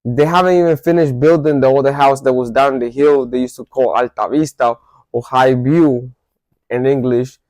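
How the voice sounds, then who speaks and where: A man's voice: mid-range voice, fairly even intonation, normal speed, darkish tone, no echo, poor audio. Man telling his life story, dry close sound. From El Salvador. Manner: loose and conversational, with false starts and self-corrections. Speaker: vlogger. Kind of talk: casual storytelling to camera.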